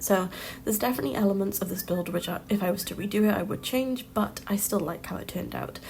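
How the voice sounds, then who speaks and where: A woman's voice: mid-range voice, vocal fry. Chatty woman, slightly nasal. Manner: chatty, rambling, frequent ums and soft laughs. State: slightly nasal from hay fever. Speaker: Sims builder and content creator. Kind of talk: casual voiceover over a speed build. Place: home room with street traffic outside. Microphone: home voiceover mic.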